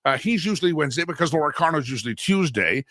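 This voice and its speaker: A man's voice: a little high, animated, quick pace, fairly bright tone, no room sound, studio quality. A man hosting a casual political talk show, stuffy and a little sore from a head cold, talking in a folksy, chatty way with many asides. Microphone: studio microphone.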